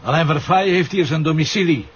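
An actor playing an insistent, businesslike Flemish police inspector in a TV crime drama. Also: male voice, a little high, fairly quick, close to an everyday voice. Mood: firm, probing. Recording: dull TV soundtrack, noisy ambience.

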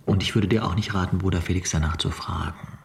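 Radio drama shaken, worn-out tourist: low, gravelly, halting, confiding. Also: male voice, fairly even intonation. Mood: shaken, haunted. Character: exhausted male tourist, shaken survivor of a cult ordeal. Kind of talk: German radio drama acting.

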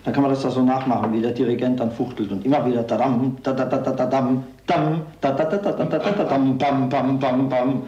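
A man's voice: medium pitch, fairly even intonation, a little fast, few pauses, dark tone, dull audio. A man commenting spontaneously and humorously on music, imitating it with syllables here and there. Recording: echoey room.

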